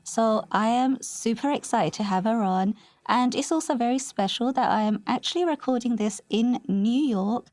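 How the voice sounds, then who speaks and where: Bright-voiced woman hosting a podcast. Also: on the high side, moderate pace, no room sound. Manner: enthusiastic, effusive welcome. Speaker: podcast host. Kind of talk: podcast interview. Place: recording in New York.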